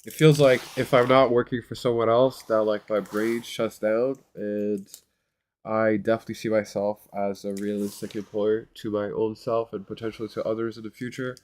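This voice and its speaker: A man's voice: fairly low pitch, little pitch movement, moderate pace, a little mellow. A man with a congested, nasal voice talking casually in a vlog, rambling and hesitant with many fillers and restarts. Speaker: vlogger. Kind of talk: casual self-recorded vlog.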